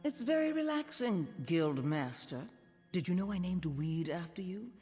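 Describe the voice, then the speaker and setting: An actress playing a haughty, menacing guild master in a video game, sardonic and deliberate. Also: female voice, on the low side, animated, mellow, natural voice. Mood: smug menace. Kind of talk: video game character dialogue. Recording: long hall reverb, music behind.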